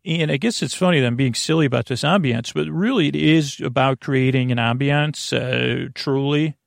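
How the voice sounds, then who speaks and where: A man's voice: mid-range voice, moderate pace, no room sound, clean studio sound. A man hosting a sleep podcast, talking in a meandering, earnest way that keeps correcting itself. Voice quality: creaky. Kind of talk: rambling bedtime podcast talk.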